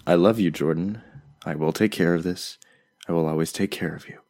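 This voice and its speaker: A man's voice: deep voice, fairly quick, a little mellow. A man playing a devoted, protective loved one in an audio drama. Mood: intense, tender. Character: devoted, protective man reading his loving text.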